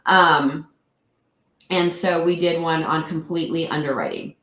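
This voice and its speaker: A woman with a deep, fry-laden voice, a little throaty from a recent cold. She talks earnestly and at length, full of "um" and "like." Her voice carries a roomy echo. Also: a little slow, fairly bright tone. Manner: earnest, rambling with many fillers. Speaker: real estate investor guest. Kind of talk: casual interview talk.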